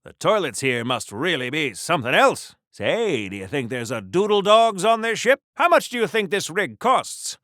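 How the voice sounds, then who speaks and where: A bombastic golden-age superhero soldier from a video game, pitched high and swinging widely in tone. He is patriotic and pompous, tossing out wisecracks like a 1940s serial hero. The voice is bright and forceful, delivered with theatrical flair. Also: big pitch swings, moderate pace, few pauses, no room sound, studio quality. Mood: chatty, musing. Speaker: video game character voice actor.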